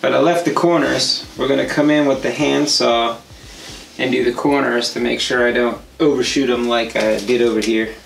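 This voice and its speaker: A man's voice: medium pitch, fairly even intonation, moderate pace, gravelly. A man in his thirties co-hosting a DIY show, casually explaining each step of a woodworking project as he works in a home workshop. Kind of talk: step-by-step craft tutorial. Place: home workshop studio, indoors. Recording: roomy workshop echo.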